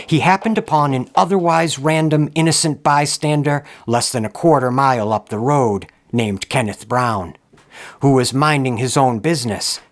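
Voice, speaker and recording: A man delivers scripted horror narration in a deliberate, ominous manner, dwelling on ornate phrases. His pitch sits in an ordinary male range, and music plays clearly behind him. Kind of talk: dramatic scripted true-crime horror narration. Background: background music.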